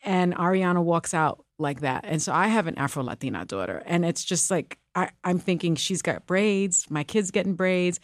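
A woman's voice: on the low side, normal speed, few pauses, dry recording, clean studio sound. A middle-aged woman whose first language is Spanish, talking candidly and emphatically in an interview. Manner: animated, emphatic, with laughs and restarts. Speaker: co-host and guest.

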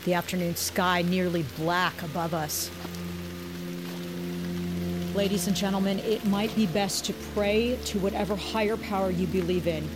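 An actress playing a television news reporter giving live commentary on a dramatic landing. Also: female voice, lowish voice, fairly even intonation, moderate pace, background noise, close to an everyday voice. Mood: reporting.

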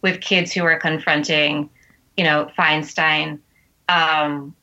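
A middle-aged woman, a guest writer on a podcast, giving measured, earnest and articulate answers. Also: low-pitched, flat delivery, bright, forceful tone, dull audio. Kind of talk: considered interview answers.

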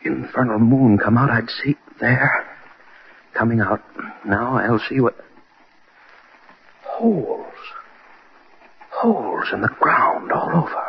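A man's voice: mid-range voice, animated, takes it slowly, gravelly, pauses often. A man acting an arrogant middle-aged husband in a theatrical old-time radio drama, domineering and intense. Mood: uneasy, baffled. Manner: domineering, theatrical, clipped phrasing. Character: arrogant, scornful husband unravelling into terror. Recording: muffled old radio recording, crowd-like noise.

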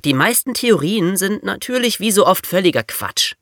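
An eager, chatty boy tells stories in a scripted podcast. His voice is high and very lively, with a bright, forward edge and a dry, close sound. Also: normal speed, no echo. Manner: eager, animated storyteller. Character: excitable, chatty boy sharing investigated theories. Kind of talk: scripted podcast banter and storytelling.

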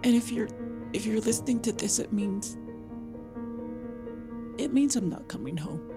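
Young mother, audio drama: tender, anxious, halting delivery with gravelly fry, music underneath. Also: fairly high pitch, expressive. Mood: tender, sorrowful. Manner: halting, tender, emotionally weighted. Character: grieving, loving young mother, anxious and resolute. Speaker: actress and narrator in audio drama. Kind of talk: intimate audio drama monologue and narration.

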